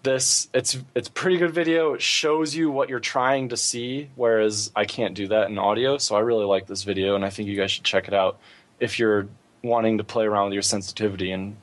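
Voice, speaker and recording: A man's voice: on the low side, fairly even intonation, a little fast. A man hosting a podcast, chatting casually about gaming in an informal way, thinking aloud as he goes. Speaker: podcast host.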